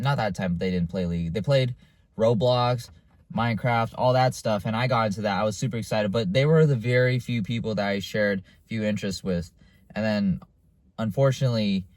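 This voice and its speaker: Casual young man, low and dry. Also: darkish tone, no echo. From United States. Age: young adult. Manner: casual and confessional, with frequent fillers and restarts. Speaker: vlogger. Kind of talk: candid personal monologue to camera.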